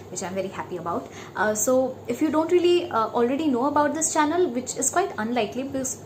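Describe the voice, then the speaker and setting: A young Indian woman talks at a quick clip in a slightly high voice, chatty and relaxed as she runs through her monthly picks. English is not her first language, and her Indian accent colours every phrase. A faint hum of room ambience sits behind her. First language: neither English nor Hindi. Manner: chatty, switches between English and Hindi. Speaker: vlogger. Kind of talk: casual chat.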